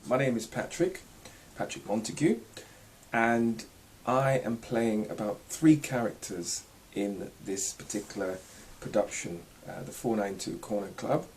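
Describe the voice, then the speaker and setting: A man's voice: on the low side, unhurried, slight background noise. A man speaking as an actor, informally introducing the characters he plays.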